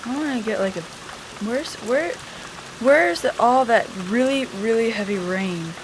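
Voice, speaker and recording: A woman's voice: on the high side, expressive, measured pace. Casual female amateur videographer, noisy recording. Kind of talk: casual spontaneous chat while filming. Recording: noisy home recording with rain-like hiss.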